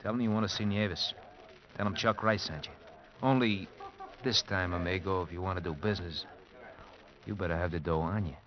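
A man's voice: low-pitched, fairly quick, very noisy, lightly acted. An actor playing a shady, guarded American bar manager in a vintage radio drama, talking in insinuating, hesitant, clipped tough-guy phrases. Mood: conspiratorial. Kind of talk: 1940s-50s radio drama acting. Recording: dull vintage radio recording with music behind.

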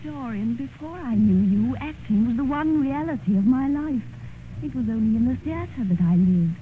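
A woman's voice: on the high side, lively intonation, normal speed, lightly acted. An actress playing a devoted, idealistic young woman of the stage in an old radio drama, earnest and theatrical. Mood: fervent. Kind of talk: 1950s radio drama acting. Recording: muffled, hissy old radio broadcast.

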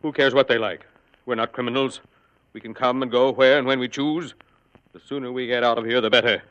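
A man's voice: medium pitch, fairly even intonation, brisk, fairly bright tone, lightly acted. An American man playing a touchy, defiant middle-aged father in a 1950s radio drama, clipped and insistent. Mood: defiant, irritated. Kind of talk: 1950 radio drama acting. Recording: dull old radio recording.

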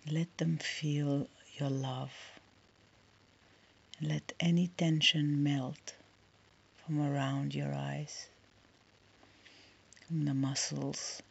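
A woman leading a guided meditation in a soft, soothing, breathy voice, leaving long pauses between short phrases. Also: low-pitched, flat delivery, muffled recording.

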